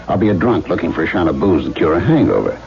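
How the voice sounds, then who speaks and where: An actor playing a cocky, slangy, streetwise carnival schemer in a radio drama. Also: male voice, sits low, sing-song, fast, creaky, soft background music, exaggerated voice. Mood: confident, conspiratorial. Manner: wisecracking, streetwise. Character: tough, slangy, cocky male carny schemer. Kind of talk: 1970s radio drama acting. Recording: dull lo-fi old radio.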